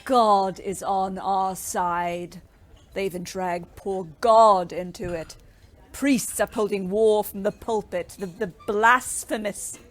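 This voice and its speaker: An actress playing a bitter, outspoken young ambulance driver in a wartime audio play, scathing and emphatic. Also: female voice, medium pitch, steady tone, slow pace, close to an everyday voice. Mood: furious, contemptuous. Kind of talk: audio drama acting.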